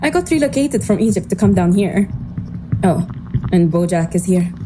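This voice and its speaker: A woman's voice: on the low side, lively intonation, normal speed, background noise, lightly acted. An actress playing a sarcastic, casual woman tech expert in an audio drama. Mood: breezy, sardonic. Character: sardonic, breezy woman, AI creator and tech expert.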